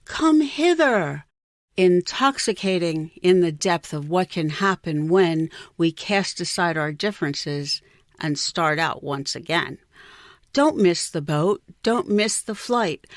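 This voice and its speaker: A woman's voice: fairly low pitch, dry recording, studio quality. A woman reciting her own rhyming poem as a poet. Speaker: poet reading own work.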